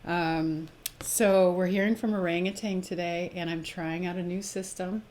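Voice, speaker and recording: Female live-stream host with a low register, delivering spiritual readings and casual talk over slight noise. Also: steady tone, moderate pace, a little mellow. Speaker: host of a live spiritual oracle card presentation.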